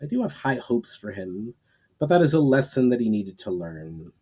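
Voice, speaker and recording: Man reading as a calm, knowing mountaintop sage, mellow. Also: medium pitch, moderate pace, slightly rough. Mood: calm, thoughtful. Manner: calm, knowing, formal storybook speech. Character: mysterious wise man on mountaintop, calm old friend, gambler.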